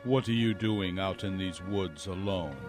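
A man acts out a burly, grizzled fairy-tale woodsman in a radio play. His voice is deep and slow, terse and brooding, with faint music behind it. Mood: guarded. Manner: terse, deliberate. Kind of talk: audio drama acting.

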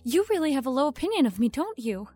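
A woman's voice: high voice, sing-song, quick pace, mellow, soft background music. A woman playing a young, ambitious actress in an audio drama, earnest and self-dramatizing over a scheming streak. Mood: wounded. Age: young adult. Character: young ambitious actress, wounded innocence masking cold scheming.

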